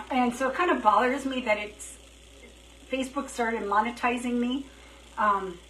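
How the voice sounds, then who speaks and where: A woman chatting casually on a live craft stream, thinking out loud as she works, her throat dry and her voice feeling worn. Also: a little high. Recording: roomy, slightly distant, faint hiss.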